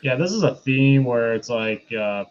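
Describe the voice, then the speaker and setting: A man's voice: mid-range voice, a little slow. A man co-hosting a casual film review chat, talking informally. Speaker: podcast co-host. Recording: dull lo-fi sound, faint noise.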